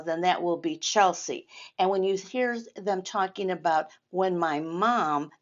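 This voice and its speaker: A woman's voice: mid-range voice, lo-fi sound. An older woman doing a read-aloud story time for children, speaking directly to young listeners and explaining as she goes. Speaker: storyteller reading a picture book to children.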